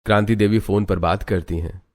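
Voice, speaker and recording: A man's voice: lowish voice, fairly even intonation, brisk, darkish tone. A man narrating a scripted family drama story in a dramatic storytelling style.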